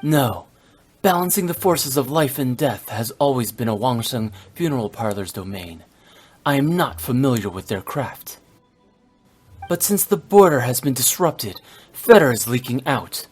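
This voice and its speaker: A brooding, youthful immortal warrior from a video game. The voice is husky and mid-pitched, with stern, formal, clipped phrasing. Faint music runs underneath. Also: male voice, expressive, measured pace. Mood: grave, resolute. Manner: grave, formal, terse.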